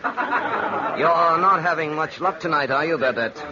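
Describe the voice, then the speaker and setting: A man acting a smooth, calculating, ambitious gambler in an old-time radio drama, with a suave, theatrical delivery. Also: on the high side, expressive, measured pace, a crowd in the background. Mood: smooth, coaxing. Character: smooth, scheming gambler and ambitious male schemer. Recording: dull, noisy old radio recording.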